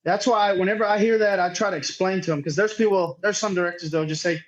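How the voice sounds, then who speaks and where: A man's voice: high-pitched, steady tone, fast. A man from chats casually as an interview guest, telling anecdotes in an informal, self-deprecating way. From Northeast Texas, United States. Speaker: podcast guest, tournament director. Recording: dull, lo-fi remote audio.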